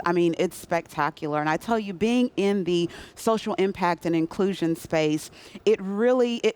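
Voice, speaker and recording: A man answering as a guest in a live interview, speaking with effusive, heartfelt enthusiasm. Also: sits high. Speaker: guest, program manager.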